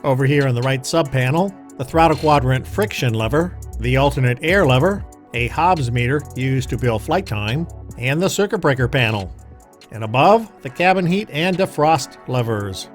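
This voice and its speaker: Man narrating calmly paced flight explanations over music. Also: medium pitch. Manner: measured, instructional. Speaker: pilot and narrator of own flight video. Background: music behind the voice.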